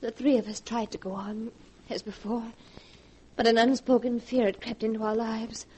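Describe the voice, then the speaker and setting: A woman's voice: on the high side, flat delivery, moderate pace, dark tone, breathy edge. A young woman playing a fearful, haunted heiress, earnest and melodramatic, in 1940s radio horror acting. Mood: haunted narration. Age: young adult. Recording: noisy 1940s radio recording.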